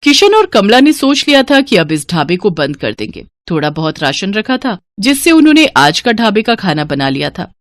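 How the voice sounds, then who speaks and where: Animated woman storyteller. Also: mid-range voice, normal speed, hardly any pauses, no room sound, clean studio sound. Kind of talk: scripted moral story narration.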